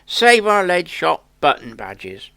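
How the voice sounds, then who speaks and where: Guy doing an earnest plain campaign video, slightly high voice, slow pace, echoey room. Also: wildly swooping pitch, vocal fry, bright, forceful tone. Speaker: host of a country sports video channel. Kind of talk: campaign appeal to camera.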